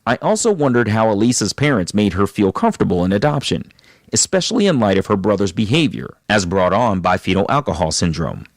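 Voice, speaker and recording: A man's voice: fairly low pitch, no echo, clean studio sound. A man hosting a podcast, interviewing a guest and recapping her story in narration, with attentive murmurs. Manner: attentive, frequent affirming murmurs.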